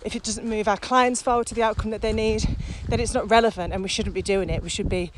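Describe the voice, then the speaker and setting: A woman's voice: mid-range voice, fairly even intonation, fast. A middle-aged woman co-hosting a podcast, chatting casually while walking outdoors, laughing often and swearing bluntly. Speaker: podcast co-host, virtual training company. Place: outdoors, windy. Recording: noisy outdoor wind.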